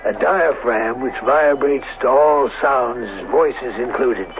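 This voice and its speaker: An actor playing a proud, obsessive middle-aged scientist in a radio drama, speaking in a deliberate, lecturing and coolly clinical manner. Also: male voice, mid-range voice, music in the background, natural voice. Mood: proud, instructive. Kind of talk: 1970s radio drama acting. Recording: muffled, hissy old radio broadcast.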